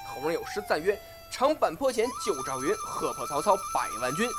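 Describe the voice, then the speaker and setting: A man playing a cheeky young amateur comic performer in an audio drama, with a quick, needling way of talking back. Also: high voice, wildly swooping pitch, loud music behind the voice. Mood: declamatory. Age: young adult. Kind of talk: audio drama acting with crosstalk patter.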